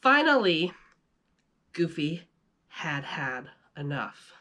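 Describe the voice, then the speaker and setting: Slow-paced woman reading a storybook, very lively. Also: low voice. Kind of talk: animated read-aloud of a children's storybook.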